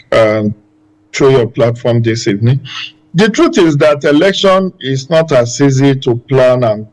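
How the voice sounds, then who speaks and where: A man giving his take as a guest on a political talk show. He talks in a measured way, pausing often and thinking out loud as he builds his point. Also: mid-range voice, low-quality recording. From Nigeria. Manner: measured, many filler pauses. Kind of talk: panel discussion.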